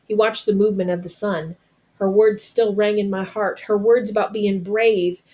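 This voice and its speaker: A woman narrating a storybook aloud as a storyteller, with dramatic, deliberate phrasing. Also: medium pitch, mellow.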